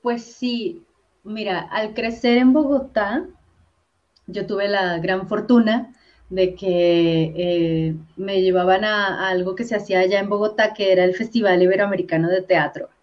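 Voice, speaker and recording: A woman's voice: mid-range voice, steady tone, unhurried. Chatty woman on a dull lo-fi call. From Colombia. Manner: chatty, many fillers and repeated words. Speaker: actress and comedian, guest. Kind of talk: casual interview chat. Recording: dull lo-fi sound.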